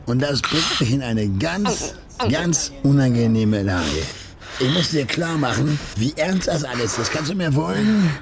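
A man's voice: mid-range voice, lively intonation, a little slow, over loud music. An actor playing a neighbourhood gangster and loan shark in a radio play, condescending and threatening in his mock politeness. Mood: menacing. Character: menacing male loan shark, neighbourhood gangster, mock-courteous, gushes at idols. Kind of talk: radio drama acting.

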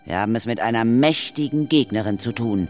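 A man playing a brisk, confident female ghost hunter in a children's radio play, husky and authoritative, with a dry explanatory manner. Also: medium pitch, soft background music, close to an everyday voice.

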